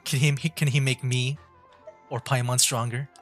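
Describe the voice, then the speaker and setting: A man's voice: mid-range voice, level tone, moderate pace, over music. Casual man streaming a game. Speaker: livestreamer playing a video game. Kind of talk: casual chat, game commentary.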